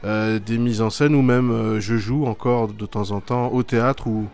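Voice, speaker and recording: Thoughtful man with frequent pauses. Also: on the low side, fairly even intonation, a little mellow. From Belgium. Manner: thoughtful, hesitant with many fillers. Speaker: theatre artist and teacher. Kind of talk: school media interview, reflective answers.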